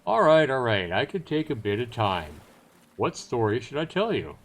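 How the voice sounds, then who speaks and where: Man doing a theatrical seafaring trader, lively pitch. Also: normal speed, a little hiss or hum. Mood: boastful, suspenseful. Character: salesman-like seafaring trader spinning yarns of the sea. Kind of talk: dramatic storytelling.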